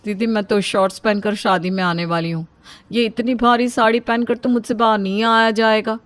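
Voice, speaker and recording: A woman's voice: a little high, fairly even intonation, a little fast, no echo, natural voice. A woman voicing a vain, fussy younger woman who protests in a cartoon story. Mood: complaining.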